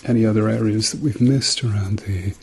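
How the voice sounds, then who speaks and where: A middle-aged man doing a softly spoken ASMR role-play as a doctor, murmuring reassurance to a patient. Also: lowish voice, steady tone, a little fast, vocal fry, a little hiss or hum. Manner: reassuring, murmured repeated encouragement.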